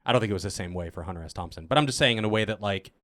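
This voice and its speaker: Low-voiced man, joking and informal. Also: rapid-fire, a bit bright, no room sound, clean studio sound. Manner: joking, self-deprecating, informal and sweary. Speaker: cast member in a Q&A. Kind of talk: casual chat.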